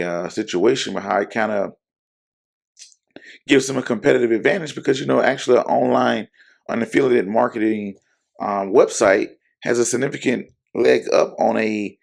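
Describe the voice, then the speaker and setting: A man hosting an investing channel, speaking in casual, rambling talk to camera with lots of fillers and self-corrections while his voice is hoarse. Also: lowish voice.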